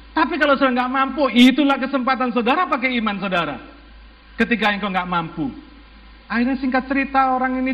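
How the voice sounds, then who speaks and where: A man with an Indonesian accent preaching to a congregation as a pastor, exhorting them emphatically with rhetorical questions and some English phrases mixed in. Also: high voice. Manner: mixes English phrases into speech. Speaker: church pastor. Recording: muffled, long hall reverb.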